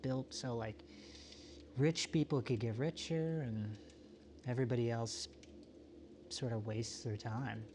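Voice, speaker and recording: A man playing a solitary, introspective apocalypse survivor in a found-footage monologue, talking in a halting, self-questioning, confessional way. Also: medium pitch, steady tone, noisy background, lightly acted. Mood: weary, reflective. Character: solitary, world-weary, introspective male survivor of a quiet apocalypse.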